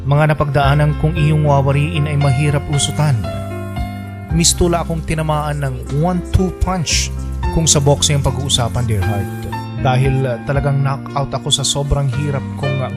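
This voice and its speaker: A man's voice: fairly high pitch, fairly even intonation, moderate pace, loud music behind the voice, close to an everyday voice. A man playing a lovestruck young boyfriend in a Filipino radio romance drama, with sentimental, playful sweet-talk. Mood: wistful. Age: young adult. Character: lovestruck young boyfriend telling his story, teasing and tender.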